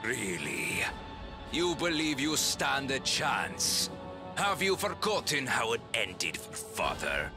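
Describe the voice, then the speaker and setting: Haughty warrior king, menacingly expressive. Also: medium pitch, music in the background. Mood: mocking. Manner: haughty, taunting, deliberate. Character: proud, cold, menacing warrior king, a usurper. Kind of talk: dramatic fantasy video game acting.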